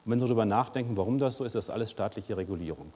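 A man's voice: lowish voice, animated, racing, warm tone, husky. A man in his fifties giving a conference keynote in a large lecture hall, speaking conversationally with frequent "uh" fillers. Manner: gestures and moves about the stage while speaking. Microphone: lapel mic. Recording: long hall reverb.

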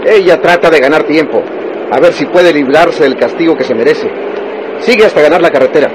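Casting: actor playing impatient male gangster thug, highish voice, menacing emphasis, noisy vintage radio drama. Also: a little fast, natural voice. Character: ruthless, impatient gangster henchman. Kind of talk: Spanish-language radionovela acting.

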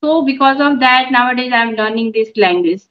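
A middle-aged woman who runs a YouTube channel, practicing English conversation on a video call from home, measured and encouraging with filler pauses. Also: fairly high pitch. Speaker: YouTube channel host and mother. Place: room at home. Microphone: phone on a video call. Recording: dull lo-fi call audio.

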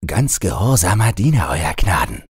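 A man's voice: low-pitched, big pitch swings, moderate pace, husky, dry recording, clean studio sound, exaggerated voice. A man voicing a humble, fawning peasant in a storybook, speaking deferentially. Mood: humble, ingratiating.

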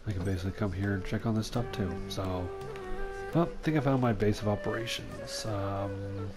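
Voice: low voice, slow pace. A gamer giving casual commentary while playing, muttering to themself with hesitation. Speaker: gamer playing through a game. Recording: game music behind the voice. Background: video game music.

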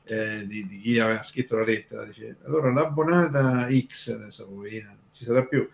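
Guy hosting a radio talk, deep-ish croaky voice, keeps circling back and rewording stuff. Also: highs cut off. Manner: halting, digressive, keeps rephrasing. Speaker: radio show host. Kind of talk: casual talk about old radio history.

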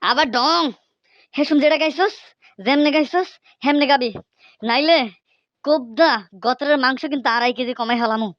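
A woman's voice: sits high, normal speed, pauses often, fairly bright tone, no room sound, highs cut off. A woman voicing a hot-tempered, threatening village mother in a comic cartoon, scolding and blustering with a Bangladeshi accent.

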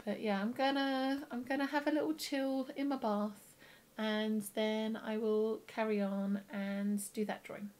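A young woman with a croaky, tired voice, chatting casually to camera in her home studio. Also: on the high side, steady tone, a little slow. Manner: chatty, rambling, with soft laughs. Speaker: illustrator vlogger. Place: home studio room with plants.

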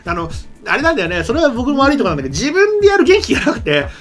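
Very fast-talking, lively man. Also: very high voice. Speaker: talk show regular. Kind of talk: casual, rambling chat. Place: small studio, seated at desk. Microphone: desk microphone. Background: background music.

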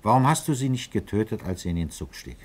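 An actor playing a calm, fatherly middle-aged police commissioner in a German radio crime drama, patient and persuasive as he coaxes and probes. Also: fairly low pitch, quick pace, roomy sound. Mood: probing.

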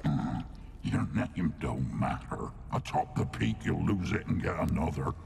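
An actor playing a gruff, menacing gatekeeper in a fantasy game, with a gravelly voice and a curt, commanding manner. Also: male voice, low-pitched, big pitch swings, measured pace, a little mellow, a little hiss or hum, full character voice. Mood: gruff, ominous. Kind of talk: dramatic game acting.

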